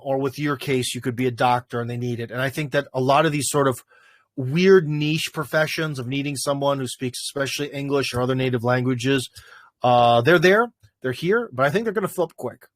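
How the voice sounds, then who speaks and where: A man's voice: mid-range voice, fairly bright tone, no echo, studio quality. A man hosting a casual livestream, answering viewer questions in a rambling way with lots of fillers. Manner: rambling, filler-heavy, thinking aloud.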